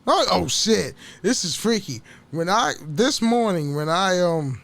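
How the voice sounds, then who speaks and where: A man's voice: high-pitched, big pitch swings, measured pace, vocal fry, fairly bright tone. A man hosting a solo podcast in a casual, rambling chat, stammering over repeated words and swearing freely. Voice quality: voice prone to cracking. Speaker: podcast host.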